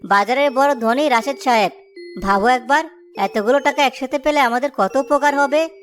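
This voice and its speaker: A woman's voice: sits high, normal speed, close to an everyday voice. A woman voicing an honest, hardworking married village man who sells samosas, earnest and emotional, in a moral-story cartoon. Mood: excited, hopeful. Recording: music behind the voice.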